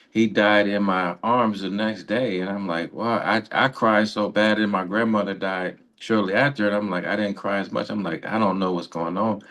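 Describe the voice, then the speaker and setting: A man's voice: on the low side, fairly bright tone. A man co-hosting a radio show, explaining things in a casual, conversational way.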